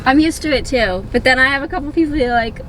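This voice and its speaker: A woman's voice: high-pitched, lively intonation, quick pace, rough recording. A woman with a nasally voice chatting casually to the camera in a car, thinking aloud and unsure of things. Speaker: vlogger. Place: inside a car. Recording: loud car and road noise. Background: loud vehicle noise.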